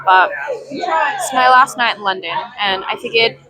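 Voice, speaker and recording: A woman's voice: on the high side, lively intonation, a little slow, shouting, a loud crowd, low-quality recording. A young woman with an Australian accent, chatting casually to a travel vlog in a wry, rambling, giggly way. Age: young adult. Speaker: travel vlogger. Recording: music behind the voice.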